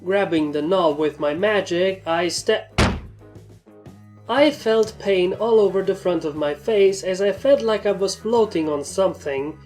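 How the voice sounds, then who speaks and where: Female story narrator, mid-pitched voice, scripted first-person prose, music underneath. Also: measured pace, darkish tone. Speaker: narrator reading a fan fiction story.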